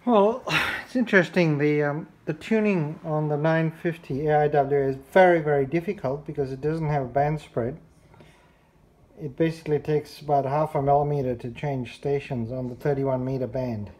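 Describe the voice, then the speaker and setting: A person giving a casual hands-on product demonstration in a measured, explanatory way. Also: medium pitch, fairly even intonation, a little mellow. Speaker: radio reviewer. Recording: clear background noise.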